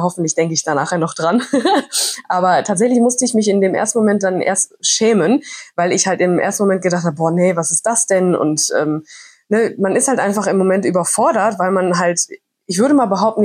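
A woman's voice: lowish voice, slightly rough. A woman with a cold hosts a podcast in a chatty, reflective monologue with frequent hesitations. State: has a cold. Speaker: podcast host.

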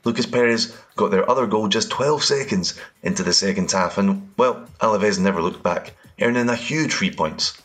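A man with a Scottish accent hosting a football podcast, presenting in a measured, scripted way. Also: lowish voice, a bit bright. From Scotland. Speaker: podcast host.

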